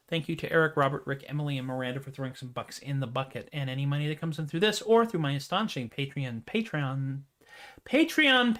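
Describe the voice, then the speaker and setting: A man hosting his own music livestream, chatting casually with self-deprecating jokes and trailing off into quiet asides. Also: high voice. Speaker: host and singer-songwriter. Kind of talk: casual livestream chat after a song.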